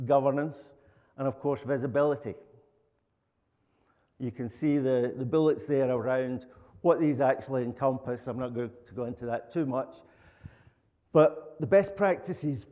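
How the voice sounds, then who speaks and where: A middle-aged Scottish man from Glasgow speaks with a Glaswegian accent at a medium pitch. He presents in an informal, plain-spoken way as a conference speaker. His voice rings with the long reverb of a hall. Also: dark tone. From Glasgow, Scotland. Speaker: conference speaker, lead software architect. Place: conference room, indoors.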